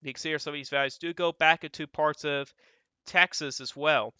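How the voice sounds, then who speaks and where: A young man with a slightly stuffy, dry-throated voice walks through weather forecast maps in a loose, rambling way, leaning on the same filler phrases. His tone is bright and clean, and he sits close to a muffled, slightly dull microphone with no room sound around him. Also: a little high, fairly even intonation, brisk, smooth, no echo. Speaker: weather channel host. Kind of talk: casual weather forecast commentary over model maps.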